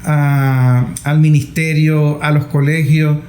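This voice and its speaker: A man interviewing as a journalist, speaking conversationally in measured phrases that build into lists. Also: fairly high pitch, little pitch movement, a little mellow. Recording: echoey room with background noise.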